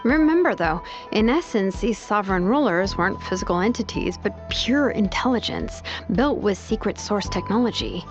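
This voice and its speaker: An actress playing a sharp, wary young strategist who explains things articulately in video game dialogue. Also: female voice, mid-range voice, lively intonation, moderate pace, hardly any pauses, lightly acted. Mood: musing. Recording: muffled game stream with loud music.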